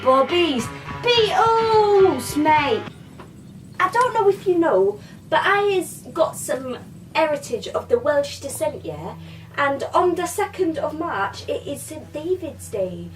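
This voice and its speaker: A young woman doing a comic monologue in a slangy, swaggering tough-kid persona, filmed in a small bedroom from a camera a few metres away. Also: high voice. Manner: slangy put-on tough-kid swagger, sing-song chants. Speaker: comedy vlogger. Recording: distant mic in a small room.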